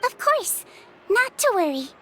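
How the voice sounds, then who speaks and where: A woman voicing a small, gentle, earnest nurse with a sweet girlish voice in a video game. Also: very high-pitched, sing-song, slight background noise, exaggerated voice, low-quality recording. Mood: earnest, calm. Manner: earnest, measured. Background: faint music.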